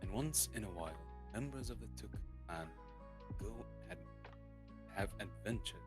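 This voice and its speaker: A man reading a storybook aloud in a halting way, stumbling and repeating words as he goes. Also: on the low side, lively intonation. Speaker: streamer reading a novel aloud. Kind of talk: dramatic storybook reading, unrehearsed. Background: soft lofi guitar music.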